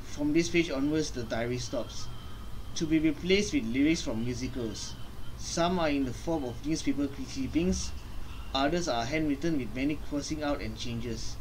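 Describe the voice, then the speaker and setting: A man's voice: mid-range voice, normal speed, a little hiss or hum, low-quality recording. A young man, a gaming streamer, reading game text aloud and casually commenting as he plays. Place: indoor room. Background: game music.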